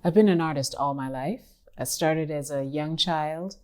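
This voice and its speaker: A woman from Kenya in her fifties, an artist who speaks thoughtfully in an interview, pausing and rephrasing as she goes. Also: deep voice, darkish tone. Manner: thoughtful, measured, with pauses and restarts. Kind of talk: reflective interview talk.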